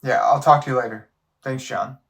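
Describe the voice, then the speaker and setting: A man's voice: medium pitch, moderate pace, lightly acted. A man playing a concerned, frustrated doctor in an audio drama, speaking in an earnest, pressing way.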